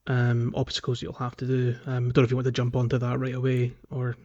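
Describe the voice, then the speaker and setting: A man's voice: medium pitch, monotone, quick pace, darkish tone. Dull-sounding recording of a man chatting. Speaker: podcast co-host. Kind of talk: casual game discussion. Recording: dull, band-limited voice recording.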